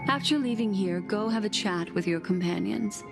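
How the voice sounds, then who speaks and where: A woman playing a poised, enigmatic and courteous guide in a video game, speaking in a measured way. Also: mid-range voice, creaky, natural voice. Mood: analytical, grave. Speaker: game character. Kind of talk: scripted video game dialogue. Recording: music behind the voice.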